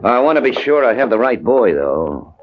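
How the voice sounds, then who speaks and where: A man's voice: medium pitch, sing-song, moderate pace, slight background noise, lightly acted. An actor playing a hot-tempered, menacing jilted tough guy in slangy 1950s radio crime drama. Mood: edgy. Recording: muffled vintage radio recording.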